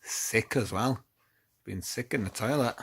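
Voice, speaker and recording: A man chatting casually as he reads a picture book with a young child, gently encouraging with short replies and questions. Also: fairly low pitch, unhurried, vocal fry, slight background noise. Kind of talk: casual read-aloud chat with a child.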